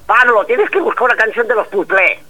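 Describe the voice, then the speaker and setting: A man's voice: high-pitched, lively intonation, fairly quick, pushed and bright, highs cut off, full character voice. A man voicing a rambling, foul-mouthed old caller from in a comic radio sketch. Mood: chatty. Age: older. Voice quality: rambling, stammering, blurts out swear words. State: stuffy head cold. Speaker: comedy caller character.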